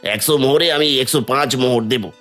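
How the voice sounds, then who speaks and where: A man's voice: mid-range voice, fairly quick, a bit bright, faint music behind, natural voice. A man playing a sly, servile merchant swindler in a cartoon, with an ingratiating, scheming way of talking. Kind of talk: cartoon villain dialogue.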